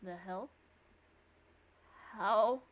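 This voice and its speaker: A woman streamer reading visual novel narration and dialogue aloud, with sarcastic, expressive reactions. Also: mid-range voice, drawn-out words.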